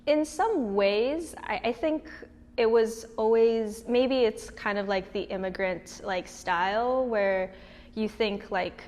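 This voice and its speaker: A woman's voice: on the high side, slow, darkish tone. A young woman from Hawaii speaking in a documentary interview, talking conversationally with many fillers and restarts. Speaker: tech mentor and interviewee. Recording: long reverb.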